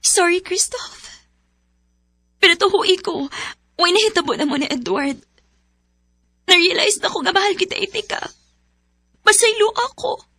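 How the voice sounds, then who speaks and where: Joanna, high-voiced torn young woman. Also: lively intonation, measured pace, pauses often, pushed and bright, no room sound, studio quality, heavily acted. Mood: apologetic. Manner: pleading, emotional. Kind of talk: Cebuano radio drama acting.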